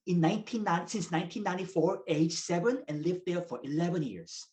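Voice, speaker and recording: A man in his thirties, a native Korean speaker raised in Russia, explaining as a guest professor in measured, lecture-like answers. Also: high-pitched, darkish tone. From South Korea, raised in Russia. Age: 30s.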